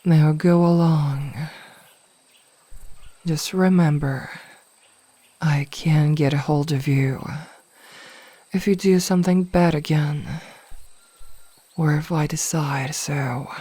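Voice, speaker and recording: A low, velvety-dark female mage played by a woman in a hypnotic ASMR fantasy roleplay, possessive and smugly sweet. She takes her time with every phrase, coaxing and often whispering, very close to the microphone. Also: breathy edge, no room sound, heavily acted. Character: possessive, smugly teasing adult female mage toying with a captive burglar. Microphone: close microphone, dry.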